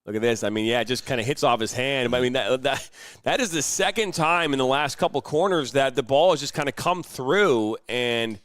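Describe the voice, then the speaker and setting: A man's voice: mid-range voice, normal speed, hardly any pauses, a bit bright, no echo. A man doing live play-by-play commentary on a youth soccer match, chatty and joking between calls. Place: outdoor soccer field broadcast.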